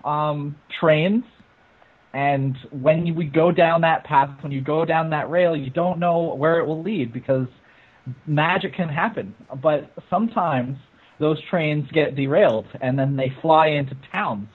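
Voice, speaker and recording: A man's voice: on the high side, measured pace, husky, phone-quality sound. A man giving his opinion as a guest in a casual group film review, heard over a thin internet call.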